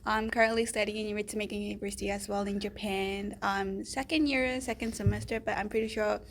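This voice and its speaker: A young woman chatting casually as a student interviewee, in halting, filler-heavy phrases. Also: medium pitch, fairly even intonation, a little hiss or hum. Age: young adult. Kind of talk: casual chat.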